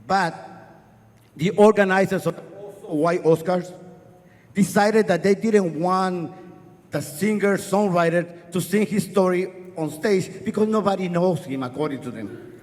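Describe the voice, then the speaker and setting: A man's voice: high voice, a little mellow. A middle-aged man from Guatemala, a native Spanish speaker with a Spanish accent, telling a personal story on a festival stage in an earnest, wry way. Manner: earnest, wry, speeds up and slows down for effect. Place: festival stage before an audience. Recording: live hall with long reverb.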